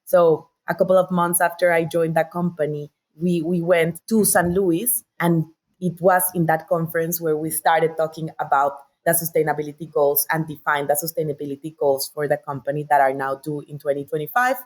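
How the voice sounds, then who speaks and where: Lower-pitched Colombian woman, enthusiastic. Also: flat delivery, normal speed, darkish tone. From Bogota, Colombia. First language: Spanish. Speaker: interview guest, sustainability director. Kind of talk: conversational interview storytelling.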